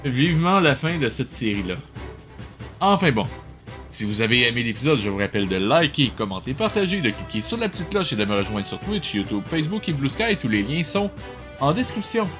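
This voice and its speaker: Un homme à l'accent québécois qui commente de vieux dessins animés de façon décontractée et sarcastique, un peu exaspéré. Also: male voice, medium pitch, sing-song, a little fast, fairly bright tone, soft background music. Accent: Quebec French. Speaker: host of a web show reviewing old cartoons. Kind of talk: casual comedic commentary.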